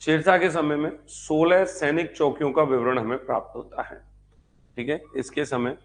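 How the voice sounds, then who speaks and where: A man's voice: a little high, steady tone, normal speed. A man teaching history in a live exam-prep lecture, repeating phrases for note-taking and answering his own questions.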